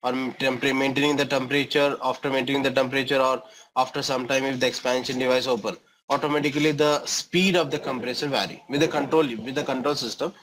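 A man's voice: mid-range voice, fairly even intonation, fast, fairly bright tone, rough recording. A middle-aged man with an Indian accent giving an explanatory lecture as an engineering instructor, often checking understanding with short questions and tags.

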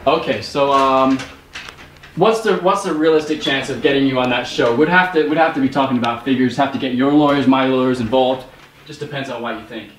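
Young male, prank phone caller posing as producer. Halting, repetitive delivery, a bit distant from the mic. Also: medium pitch, a little hiss or hum. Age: young adult. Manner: hesitant, repeats phrases. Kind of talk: improvised prank phone call. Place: small bedroom, indoors. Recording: roomy, slightly distant microphone.

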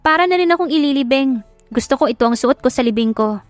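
A woman voicing a bitter, defiant young bride with a sharp-tongued, emphatic delivery in an audio drama. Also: sits high, lively intonation, fast talker, no room sound, faint music behind, voice pushed up. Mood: resigned, bitter.

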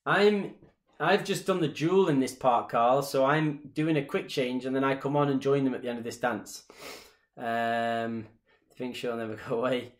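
A man's voice: medium pitch, fairly even intonation, normal speed. Mancunian-Irish man chatting on a webcam. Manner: chatty, gestures a lot while talking. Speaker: dance instructor answering viewer questions. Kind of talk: casual live stream chat. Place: small living room at home, indoors. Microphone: webcam at desk distance. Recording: roomy home webcam sound.

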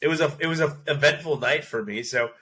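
A congested man in his thirties trading quick jokes as a podcast co-host. His voice is bright and forceful, close on a studio mic at home. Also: a little high, slight background noise. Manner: joking, quick banter. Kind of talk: casual chat. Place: home office, indoors. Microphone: large studio mic on arm, video call.

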